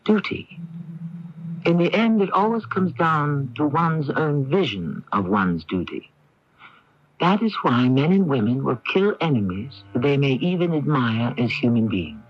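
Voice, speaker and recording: A man's voice: medium pitch, big pitch swings. Dramatic man hosting radio mystery, muffled broadcast. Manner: dramatic, measured, reflective. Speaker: host and narrator. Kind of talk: scripted radio mystery narration. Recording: dull 1980s radio broadcast, faint music.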